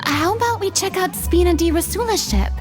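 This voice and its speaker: An actress voicing a remorseful former water goddess in a video game, speaking softly and often half-whispering as she confides. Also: very high-pitched, animated, fairly quick. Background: game music.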